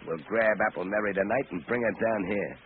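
An actor playing a tough, scornful small-time gang boss with gruff, domineering slang, in 1930s radio drama style. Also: male voice, on the low side, a little fast, natural voice. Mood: scheming, cocksure. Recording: tinny, noisy old radio recording.

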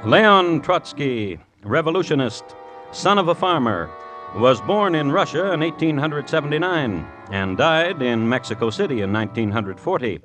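A man playing a droll crime connoisseur, narrating deliberately as host of an old radio show. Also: fairly high pitch, very animated, fairly bright tone, soft background music. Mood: dry, deliberate. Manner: dry, deliberate, wry. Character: droll, morbid male crime connoisseur and storyteller. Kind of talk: 1950s radio scripted narration.

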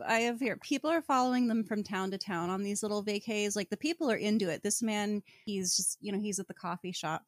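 A woman's voice: medium pitch, fairly quick, hardly any pauses, no echo. Wry woman podcaster, close dry sound. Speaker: podcast co-host. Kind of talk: casual book discussion podcast.

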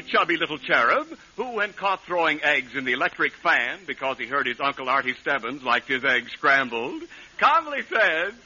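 A man announcing a 1940s radio show in a declamatory, theatrical style. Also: sits high. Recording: muffled old radio recording. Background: orchestral music.

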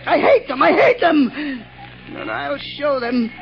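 A man's voice: extremely high pitch, sing-song, a little slow, gravelly, faint music behind, heavily acted. An actor playing a crazed male mass killer who talks in a nervous, obsessive, fussy way, in 1930s radio drama style. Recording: dull 1930s radio broadcast.

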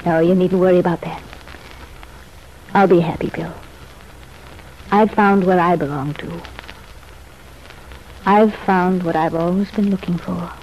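An actress playing a contrite, earnest young ex-wife in an old-time radio soap opera, speaking in a confiding, measured way. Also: female voice, on the low side, steady tone, a little mellow, lo-fi sound, lightly acted. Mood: remorseful, serene. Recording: noisy, band-limited vintage radio recording.

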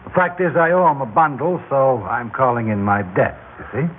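An American actor playing a falsely chummy, menacing gambling boss in an old-time radio drama. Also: male voice, medium pitch, expressive, moderate pace, natural voice. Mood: coolly threatening. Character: smooth, menacing male gambling boss and creditor. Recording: old radio broadcast, narrow band, hiss.

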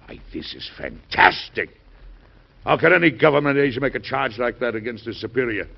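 An actor playing a genial, high-minded American president in an old-time radio drama, with a measured, oratorical delivery and an American accent. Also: male voice, animated, gravelly, breathy edge. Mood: indignant. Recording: dull, band-limited old radio recording.

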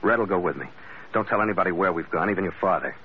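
Guy acting as tough calm skipper detective, deep voice, clipped old time radio delivery, dull noisy recording. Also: racing, close to an everyday voice. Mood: steady, probing. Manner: terse, hardboiled, matter-of-fact. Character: tough, calm American sea skipper turned amateur detective. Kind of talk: 1940s-50s radio drama acting, first-person narration and dialogue.

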